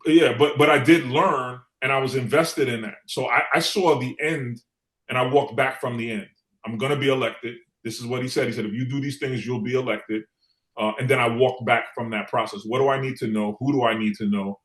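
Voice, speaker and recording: A middle-aged man from New York City talks as an interview guest in a medium-pitched voice. He tells his story in a conversational, emphatic way, repeating phrases to drive points home. The recording has a clear room echo. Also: steady tone, brisk, fairly bright tone. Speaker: guest, former city council member. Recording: echoey room sound.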